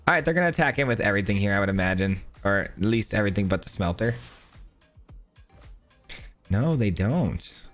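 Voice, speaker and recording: Hoarse man chatting through a card game. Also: fairly low pitch, expressive, brisk, pauses often, fairly bright tone, soft background music. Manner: chatty, upbeat, thinking aloud. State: hoarse, losing his voice. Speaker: gaming channel host. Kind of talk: casual live gameplay commentary.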